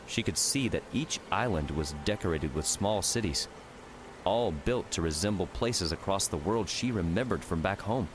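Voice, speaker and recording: A man's voice: deep voice, expressive, normal speed, noisy background. A man reading scripted fiction narration as an audiobook narrator. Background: music.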